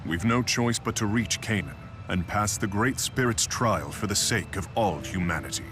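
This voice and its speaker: A man's voice: lowish voice, moderate pace, over music, lightly acted. An actor playing a grave, resolute, regal man in a video game, speaking formally. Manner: stately, formal.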